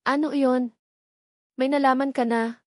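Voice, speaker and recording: A woman's voice: high-pitched, steady tone, a little slow, no echo, clean studio sound, pitched above the performer's own voice. A Filipino woman voicing a serious wealthy man haunted by suspicion, controlled and insistent, in a narrated story. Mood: anxious. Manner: controlled, grave, pleading.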